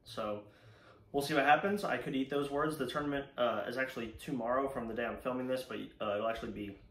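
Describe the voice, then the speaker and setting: A man's voice: medium pitch, quick pace, a bit bright. A young man with an American accent talks casually and informally to camera as a fishing vlog host. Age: young adult. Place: indoor room at home, seated before a banner. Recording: echoey room, faint background noise.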